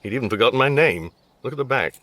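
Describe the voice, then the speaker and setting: A man's voice: mid-range voice, expressive, fast, fairly bright tone, dry recording, natural voice. An actor playing a fussy, exasperated middle-aged father with an English accent, dry and pedantic, in a radio comedy drama. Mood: wry.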